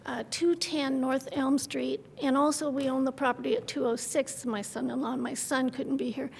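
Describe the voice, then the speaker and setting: A woman's voice: sits high, level tone, moderate pace, gravelly, big-room sound, slight background noise. An older woman giving rambling, heartfelt public comment at a city meeting, speaking at a podium microphone. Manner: folksy and rambling, with homey terms like hon and love. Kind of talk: nervous testimony at a public meeting. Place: city council chamber.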